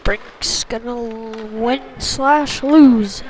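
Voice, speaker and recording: A woman's voice: a little high, very slow, a little hiss or hum, poor audio. A woman doing live play-by-play commentary of youth ice hockey in short clipped phrases. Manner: short clipped phrases, excited on big plays.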